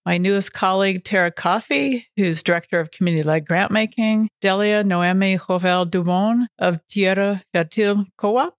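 A woman's voice: mid-range voice, moderate pace, few pauses, dry recording, clean studio sound. A woman hosting a talk podcast, introducing guests and putting questions to them. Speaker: podcast host. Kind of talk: welcoming guests, reading bios.

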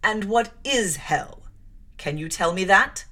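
A woman voicing a tall, stern clergyman who questions a child slowly and gravely. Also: medium pitch, expressive, exaggerated voice. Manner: slow, loud, grave questioning. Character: stern solemn man, tall clergyman, bass voice.

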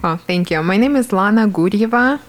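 A woman from Ukraine with a Ukrainian accent, an art teacher answering interview questions earnestly and haltingly. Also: mid-range voice, expressive. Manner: earnest, halting, many fillers and restarts. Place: podcast studio.

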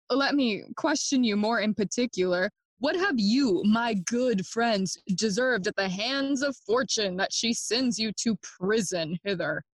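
A slow, sardonic young prince Hamlet. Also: female voice, mid-range voice, no room sound. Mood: wry. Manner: theatrical, mocking, switches between English and Spanish. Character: Prince Hamlet, young man, sardonic, melancholy, feigning madness. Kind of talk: classical Shakespearean stage acting for audio play.

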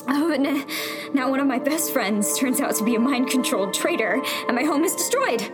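A woman playing an anxious, sardonic rebel tech in a sci-fi radio drama, halting and breathy with nervous sarcasm. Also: sits high, animated, over music. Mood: panicked, defensive.

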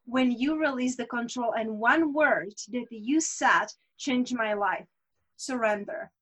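High-voiced woman. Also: slow pace, fairly bright tone, husky, rough recording. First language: Czech. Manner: earnest, reflective, with rhetorical asides. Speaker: guest. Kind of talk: candid personal conversation.

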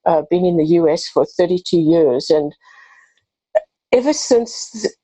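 A woman's voice: lowish voice, expressive, unhurried, mellow, no room sound, low-quality recording. A woman in her fifties with a South African accent, a pharmacist answering interview questions earnestly and with passion. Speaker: pharmacist and podcast host.

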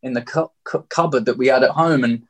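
This is a man of about thirty with a southeast English accent. He speaks as an interview guest, telling a personal story candidly. His manner is open and reflective, and his voice sits at a middling pitch. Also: brisk. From Margate, England.

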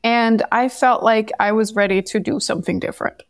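A woman from Denmark, a podcast guest telling her story in a candid, animated way. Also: on the high side, a little fast, no room sound, studio quality. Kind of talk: casual interview conversation.

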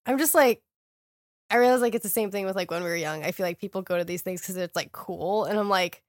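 A woman's voice: mid-range voice, fast, no room sound, clean studio sound. A woman in her thirties co-hosting a podcast, talking bluntly with plenty of swearing. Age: 30s. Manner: blunt, profane, matter-of-fact. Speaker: podcast co-host. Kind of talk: casual reality-TV recap chat.

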